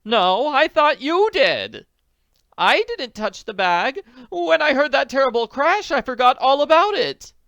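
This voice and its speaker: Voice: mid-range voice, lively intonation, moderate pace, sharp tone, clear, resonant tone, no echo, lo-fi sound, voice pushed up. A reader voicing a fretful, wailing lady. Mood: distraught. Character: anxious older woman dining out.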